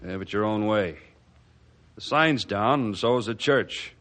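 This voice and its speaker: An actor playing a gruff, stubborn, suspicious middle-aged small-town mayor in a blustery radio drama manner. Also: male voice, lowish voice, unhurried, a bit bright. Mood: bewildered, grudging. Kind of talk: radio adventure drama acting.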